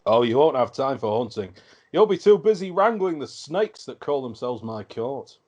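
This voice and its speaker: A man's voice: medium pitch, sing-song, moderate pace, a little mellow. Man playing a blunt, hearty king. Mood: blunt, jovial. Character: hearty, blunt, affectionate middle-aged king. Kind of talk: script table read.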